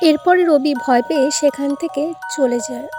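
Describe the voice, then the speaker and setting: A woman's voice: sits high, normal speed, over music. A woman narrating a scripted cartoon story.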